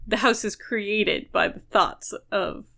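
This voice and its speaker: A woman speaks as a podcast co-host in a casual book discussion. Her voice sits at a middle pitch with noticeable fry, and she weighs and qualifies what she says. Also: slow, sharp tone.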